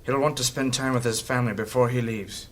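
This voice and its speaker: A man's voice: medium pitch, fairly even intonation, brisk, close to an everyday voice. An actor playing an earnest, wary male adventurer who leads his party in a fantasy audio drama. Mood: firm.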